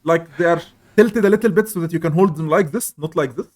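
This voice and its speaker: A young man co-hosting a casual podcast chat, talking loudly and rambling with plenty of fillers. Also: fairly high pitch, fast talker. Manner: loud, rambling, laughs along. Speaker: podcast co-host.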